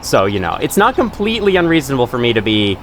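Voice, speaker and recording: A man's voice: medium pitch, sing-song, fast talker, a little hiss or hum. Chatty young man with a stuffy nose. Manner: rambling, chatty, swears casually. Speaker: gaming channel host. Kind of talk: casual let's-play commentary.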